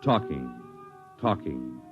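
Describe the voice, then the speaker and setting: A man narrating a 1950s radio drama in a measured, formal delivery. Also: extremely low pitch, sing-song. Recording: dull old broadcast over music.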